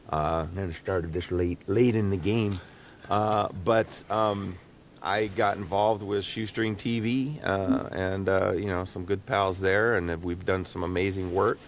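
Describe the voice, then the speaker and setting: A man with a deep voice hosts a casual podcast chat. His delivery rambles and stops and starts as he works out his thoughts. The voice has a lot of fry, and there is faint noise in the small booth. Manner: halting, rambling, thinking aloud with repeated words. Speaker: podcast host and voice actor. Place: small home recording booth.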